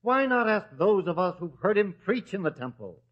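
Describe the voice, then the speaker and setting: A man's voice: high-pitched, big pitch swings, moderate pace. A man playing a principled council member in a biblical radio drama, challenging others in a reasoned way. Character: principled male council elder questioning the trial. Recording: slightly dull, lo-fi recording.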